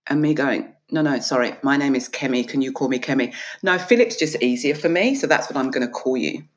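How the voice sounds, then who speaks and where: A woman's voice: extremely low pitch, brisk. Woman from australia, earnest and emphatic, plays out little back-and-forths, kinda dull lo-fi sound. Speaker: author and guest. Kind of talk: reflective interview conversation.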